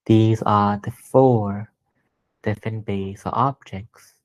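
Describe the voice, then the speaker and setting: Male meditation guide, low-pitched, very unhurried, gentle and deliberate, phrase by phrase. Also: fairly even intonation. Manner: gentle, deliberate, pausing between short phrases. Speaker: meditation teacher.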